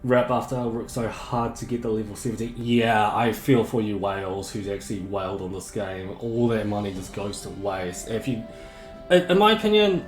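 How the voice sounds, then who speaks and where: Guy streaming a game, sore throat, kinda droning and rambly, echoey room, soft music behind. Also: mid-range voice. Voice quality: monotonous, droning. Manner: rambling, self-correcting, chatty. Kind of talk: casual live stream chat, reading chat.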